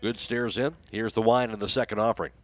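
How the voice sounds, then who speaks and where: A man's voice: fairly low pitch, steady tone, fast talker, no room sound. An American man doing live radio play-by-play commentary of a baseball game.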